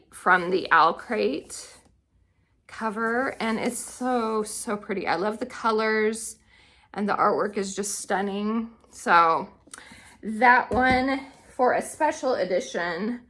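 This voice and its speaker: A woman with a bright, forward voice speaks at an unhurried pace. She chats casually to her viewers like a book vlogger, with open enthusiasm in how she talks. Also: medium pitch. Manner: chatty, enthusiastic. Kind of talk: casual chatty book review to camera.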